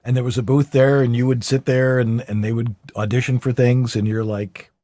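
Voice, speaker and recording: A man's voice: fairly quick, dry recording, studio quality. A male host asking a guest questions in a casual, upbeat way and joking with his co-host. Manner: upbeat and joking, with lively pitch swings. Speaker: podcast host. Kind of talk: casual interview hosting.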